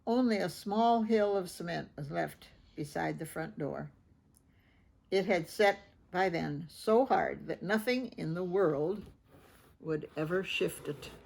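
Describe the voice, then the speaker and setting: A woman's voice: on the low side, takes it slowly, vocal fry, darkish tone. A woman reading a children's story aloud expressively, adding informal asides about the pictures. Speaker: children's picture book reader.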